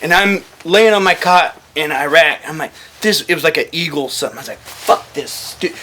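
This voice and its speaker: A man telling casual war stories as a military veteran, animated and swearing freely, acting out quoted dialogue. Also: on the high side, a little fast, a little hiss or hum. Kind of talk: casual storytelling, profane.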